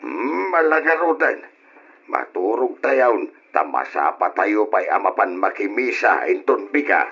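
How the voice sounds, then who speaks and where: An actor playing a kindly but strict older father giving measured advice in a radio drama. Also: fairly low pitch, very animated, fairly bright tone, slightly rough, soft background music, full character voice. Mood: gently stern. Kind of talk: old radio drama acting. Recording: dull, lo-fi old radio drama.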